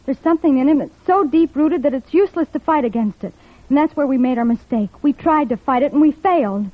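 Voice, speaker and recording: Anguished young female welfare officer, highish pitch, pleading, narrow vintage radio sound. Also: lively intonation, fast, no room sound. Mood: earnest, confessing. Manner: pleading, emotional. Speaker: radio drama actress. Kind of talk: old-time radio drama acting. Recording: muffled, narrow-band vintage radio recording.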